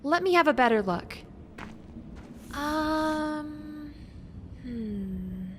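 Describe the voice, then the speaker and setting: A woman's voice: sits high, animated, extremely slow, exaggerated voice. A woman playing a careful, bookish young researcher in an audio drama, earnest and precise, hesitant when unsure. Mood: absorbed, curious. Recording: faint background noise.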